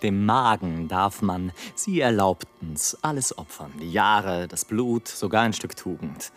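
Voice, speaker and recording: A man's voice: low voice, animated, unhurried, faint music behind. An actor playing a bitter, world-weary male balloonist in a German radio play, declaiming in an eloquent, theatrical and ironic way. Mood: scornful.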